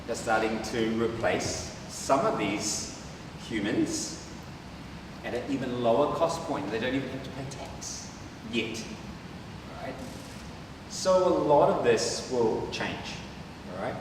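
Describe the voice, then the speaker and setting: A man's voice: medium pitch, animated, takes it slowly, pauses often, noisy background. A man with a New Zealand accent giving a conference presentation, chatty and peppering his points with "right?". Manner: conversational, rhetorical tags like "right?". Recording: echoey hall from far off.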